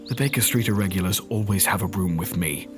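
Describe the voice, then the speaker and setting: A man playing a gentle, grieving doctor in an audio drama, speaking earnestly and with measured care. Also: deep voice, over music, close to an everyday voice. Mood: tender, earnest. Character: gentle, grieving Doctor Watson, caring male friend.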